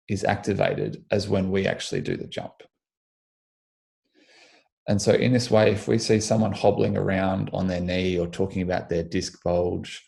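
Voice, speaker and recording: A young Australian man, a physiotherapist, answering interview questions in a measured way, slightly croaky in the morning, restarting his sentences often. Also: sits low, mellow. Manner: measured, with frequent restarts and ums. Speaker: physiotherapist guest.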